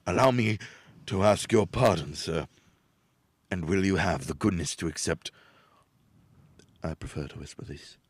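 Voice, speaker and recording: An actor playing an excitable old miser in a radio play, theatrical and stammering. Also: male voice, sits low, measured pace, long pauses, dry recording. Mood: joyful, gracious.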